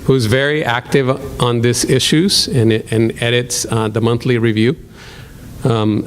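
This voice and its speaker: Hesitant Salvadoran-accented professor. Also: male voice, mid-range voice, slight background noise. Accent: Salvadoran Spanish. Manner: hesitant, many ums and restarts. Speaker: professor introducing a speaker. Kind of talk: lecture hall introduction.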